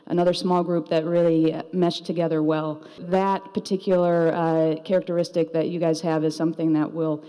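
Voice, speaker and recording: A woman's voice: deep voice, level tone, moderate pace, hardly any pauses, warm tone, clean tone. A woman giving an informal ceremony speech as a faculty member. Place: large indoor auditorium. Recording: reverberant hall.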